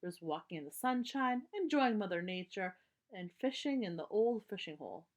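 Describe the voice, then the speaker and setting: A woman's voice: mid-range voice, expressive, moderate pace, close to an everyday voice. A woman reads as an easygoing papa bear from a children's storybook. He is an outdoorsy father who likes to take life lightly. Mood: enthusiastic. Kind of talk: children's storybook read-aloud.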